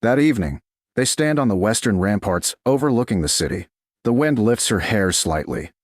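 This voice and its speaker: A man narrating a scripted romance story in a measured, dramatic way, in short clipped phrases. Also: lowish voice, lively intonation, no room sound. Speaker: audiobook narrator.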